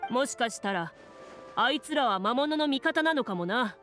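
A woman voicing a short-tempered male merchant in a game, with rough, curt, scoffing speech. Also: on the high side, animated, normal speed, a bit bright, soft background music. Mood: bitter.

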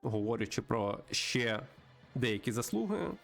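Male game streamer, mid-pitched, mock-earnest, music underneath. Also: sing-song, unhurried, creaky, a bit bright. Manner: tongue-in-cheek, mock-earnest. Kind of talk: playthrough commentary with dramatic reading. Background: music behind the voice.

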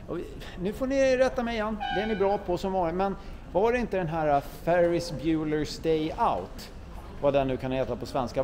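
A man's voice: medium pitch, noisy background. A man walking through a car museum with a camera, giving enthusiastic casual commentary and often correcting himself as he goes. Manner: chatty, enthusiastic, muses aloud and corrects himself. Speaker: car vlogger touring a museum. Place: indoor car museum hall. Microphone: handheld camera mic, walking. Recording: visitor crowd in background. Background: crowd murmur of museum visitors.

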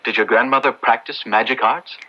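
Radio drama clergyman, played by a man: tender, earnest, theatrical delivery with a husky edge, dull lo-fi vintage sound. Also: mid-range voice, steady tone, fairly quick, natural voice. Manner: solemn, theatrical, gently fatherly. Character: earnest, tender young English-ordained clergyman comforting a prisoner. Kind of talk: old radio drama acting. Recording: dull lo-fi old radio recording.

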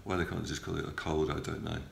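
A middle-aged man with a cold, recording a halting, matter-of-fact video diary from a hospital room on a front-facing camera, with many ums and uhs. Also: a bass voice, level tone, gravelly. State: has a cold, stuffy. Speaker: patient video blogger. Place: small hospital room, indoors. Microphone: phone or camera close up, front-facing. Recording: roomy with faint background hum.

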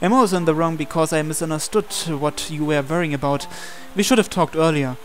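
A man with a slightly high voice reads a visual novel aloud. He takes the male lead's dialogue and thoughts in character. Faint music plays beneath him. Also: a little fast. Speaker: gameplay reader voicing the male protagonist. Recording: music playing under the voice.

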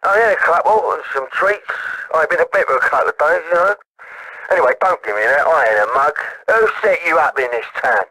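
An actor playing a boastful, resentful small-time hustler with a southern English accent and raspy voice in a video game, talking in agitated, rambling slang. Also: male voice, on the high side, expressive, fast, fairly bright tone, no room sound, low-quality recording. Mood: drunken, aggrieved. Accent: British, southern English. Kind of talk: video game character phone dialogue.

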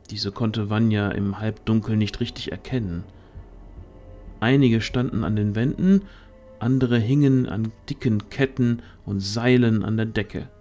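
A man with a fairly low voice narrates a fantasy story. He reads scripted prose slowly and deliberately, with faint music underneath. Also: a little mellow.

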